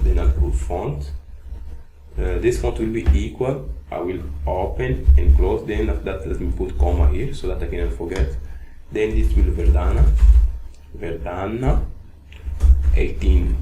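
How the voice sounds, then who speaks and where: A man with a low, soft voice, whose first language is Portuguese, teaching a coding lesson one step at a time. He breaks up his steps with "uh" and asks "okay?" again and again. The room sounds echoey, with a faint hiss underneath. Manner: narrates each step, frequent "okay?" check-ins and "uh" fillers. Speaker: coding tutor. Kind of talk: screen-recorded coding tutorial.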